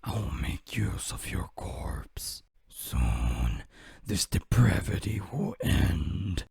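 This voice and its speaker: A man voicing a sinister monstrous villain from a video game, slow and menacing, with a growling rasp. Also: low-pitched, big pitch swings, darkish tone, no room sound, whispered, clean studio sound, heavily acted. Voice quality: very raspy, growling. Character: dark monstrous villain, sinister, savouring misery. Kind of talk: video game character impressions.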